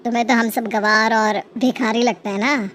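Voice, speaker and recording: A woman's voice: on the high side, fairly even intonation, moderate pace, crowd noise, natural voice. A woman voicing a young husband in a story, stern and reproachful as he defends his family.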